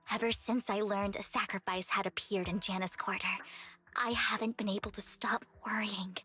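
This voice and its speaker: A woman's voice: medium pitch, bright, forceful tone, slightly rough. A woman voicing an earnest, formal young woman with a troubled past in a video game. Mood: somber, confiding.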